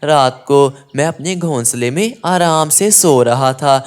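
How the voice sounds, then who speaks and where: A man's voice: a little high, unhurried, smooth, close to an everyday voice. A man voicing a timid, pleading male pigeon in a cartoon story, with a plaintive way of talking.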